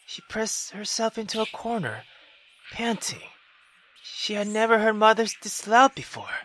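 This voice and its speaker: Bright, expressive man reading a visual novel. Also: sits high, measured pace, no room sound, rough recording. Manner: expressive, theatrical, sweary. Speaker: gaming YouTuber. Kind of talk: dramatic visual novel reading with excitable commentary.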